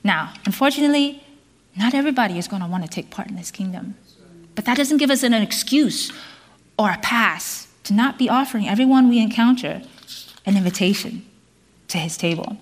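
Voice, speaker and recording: A middle-aged woman born in South Korea, preaching a sermon to a congregation in an earnest, measured way, with some fillers and restarts. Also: mid-range voice, expressive.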